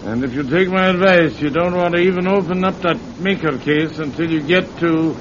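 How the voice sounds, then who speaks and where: A man's voice: fairly quick, lightly acted. A man acting in an old-time radio drama as a sly thief posing as a folksy, fatherly priest, with hesitant, chuckling delivery. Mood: nervous, insistent. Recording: muffled, noisy old radio recording with rumble.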